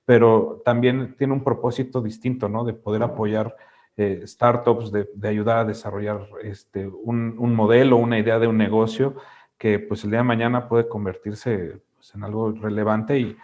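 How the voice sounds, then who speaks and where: A man's voice: on the low side, flat delivery, moderate pace, darkish tone. A man with a Mexican Spanish accent introducing himself informally on a video call, in a hesitant, rambling way with many self-corrections. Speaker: class participant. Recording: dull lo-fi video call audio.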